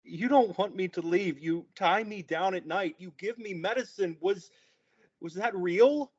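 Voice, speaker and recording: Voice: mid-range voice, darkish tone, breathy edge. A performer reading a sheltered young son questioning his mother in a table read. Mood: shaken. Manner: halting, questioning. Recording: dull lo-fi recording.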